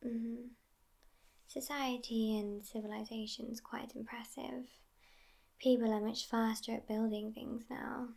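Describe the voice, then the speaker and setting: A woman voicing a sassy, affectionate immortal vampire girlfriend in an ASMR roleplay, hushed and often whispering, with a languid delivery. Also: fairly high pitch, level tone, a little slow, gravelly. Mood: musing, wry.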